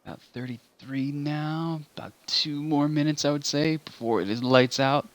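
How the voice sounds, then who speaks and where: A man commentating a live simulated racing event, relaxed and chatty, laughing often as he talks through the grid. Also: fairly high pitch, normal speed, no room sound. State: saving a tiring voice. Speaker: race commentator.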